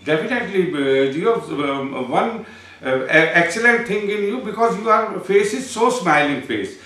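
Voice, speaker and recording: A man hosting an interview, asking questions in hesitant English with many fillers, then closing quickly in Hindi. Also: high voice, expressive, bright, forceful tone. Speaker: interviewer, host. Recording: very echoey room.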